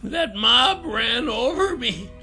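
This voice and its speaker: Shaky old man, high and creaky, whiny and bright, faint music behind. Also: big pitch swings, slow pace, exaggerated voice. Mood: pleading. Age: older. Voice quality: creaky, trembling. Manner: whiny, pleading, high strained voice. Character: frail, withered old man, timid and crafty.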